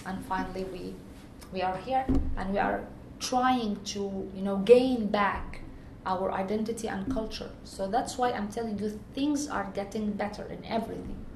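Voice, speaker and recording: Egyptian woman interviewee, earnest and searching, gravelly voice, echoey room with faint ambience. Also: mid-range voice, normal speed, few pauses, a little mellow, a little hiss or hum. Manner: earnest, searching for words with restarts. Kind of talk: conversational personal account.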